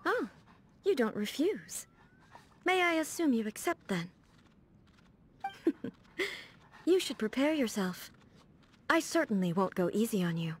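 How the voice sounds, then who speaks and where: Calm regal swordswoman for a video game, kinda high voice, very formal and measured, music behind. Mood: candid, resolute. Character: serene, dignified female ruler, a warrior devoted to eternity. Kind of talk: scripted video game character dialogue.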